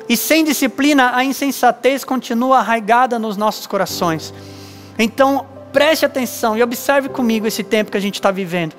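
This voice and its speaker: A man in his thirties with a Brazilian Portuguese accent, a pastor giving an earnest, measured sermon with emphatic pauses on a church stage. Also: very high-pitched. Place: dark church stage with lights, indoors.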